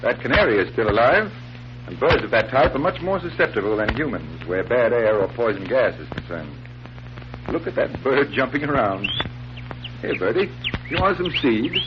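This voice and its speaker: An actor playing a confident stage magician detective in a 1940s radio drama, speaking with measured, theatrical authority. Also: male voice, mid-range voice, big pitch swings, lightly acted. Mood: probing, firm. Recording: muffled, humming, hissy 1940s radio recording.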